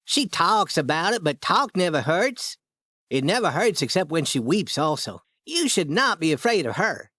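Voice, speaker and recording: A man voicing a clever, scheming young boy in a storybook reading, with a high, coaxing boyish voice. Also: very animated, normal speed, sharp tone, dry recording, exaggerated voice.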